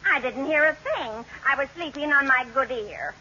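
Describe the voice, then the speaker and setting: An actress playing a matter-of-fact, deadpan household maid in a vintage radio sitcom. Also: female voice, high voice, moderate pace. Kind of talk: 1940s-50s radio sitcom acting. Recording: muffled old radio recording, faint noise.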